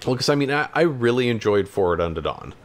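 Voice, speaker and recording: A man's voice: mid-range voice, big pitch swings, fast. A man chatting casually as a podcast co-host about games and films, hedging and backtracking as he goes.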